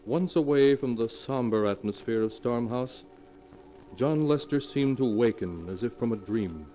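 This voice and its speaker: An actor playing a mysterious keeper of dark tales, narrating formally in a 1940s radio mystery show. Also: male voice, medium pitch, natural voice, rough recording. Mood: ominous storytelling. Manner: formal, measured storytelling. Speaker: narrator, host. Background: music.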